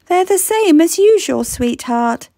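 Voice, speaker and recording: A gentle, firm storybook mother, played by a woman reading aloud. Her voice is high and swoops with reassurance. She coaxes lovingly and takes her time over the words. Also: lively intonation, a little slow, no echo, clean studio sound, exaggerated voice. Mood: tender.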